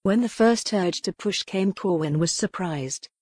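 A woman's voice: mid-range voice, unhurried, darkish tone, slightly rough, no room sound, studio quality. A woman audiobook narrator reading dramatic fiction from a script.

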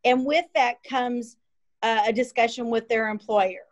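Higher-voiced woman explaining health procedures. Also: steady tone, measured pace. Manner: practical, explanatory. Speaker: health department official. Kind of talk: explanatory answer in a video call. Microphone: video call. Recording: lo-fi video call audio.

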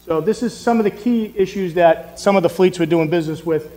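Middle-aged guy w/ boston accent, podium mic, kinda high voice, big echoey hall. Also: brisk, mellow, a little hiss or hum. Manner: friendly, talking from the podium. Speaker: conference speaker. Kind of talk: conference presentation. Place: hotel conference room. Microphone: podium microphone. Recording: reverberant conference hall.

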